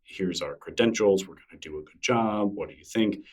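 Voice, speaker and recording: A man's voice: low-pitched, a little fast, a little mellow, recorded from a distance. A man being interviewed in casual conversation, thoughtful and rambling, full of fillers and restarts. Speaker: interview guest, newsletter creator.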